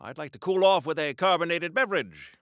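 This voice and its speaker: An actor playing a pompous, earnest old-fashioned comic book superhero in a video game, declaiming theatrically in retro mid-century style. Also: male voice, on the high side, expressive, fairly quick, a bit bright, dry recording, clean studio sound. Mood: cheerful, promotional.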